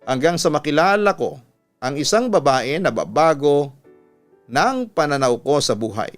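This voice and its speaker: A man's voice: on the high side, big pitch swings, moderate pace. A man with a Filipino accent, a radio storytelling host, reading a listener's letter as scripted narration. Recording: music bed under the voice. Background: instrumental music.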